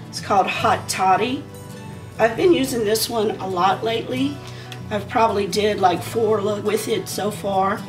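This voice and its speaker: A woman in her forties, a beauty vlogger, chatting casually and rambling while she does her makeup, her voice a bit hoarse from a sinus issue. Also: medium pitch, recorded from a distance, low-quality recording. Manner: rambling and conversational, talking while applying makeup.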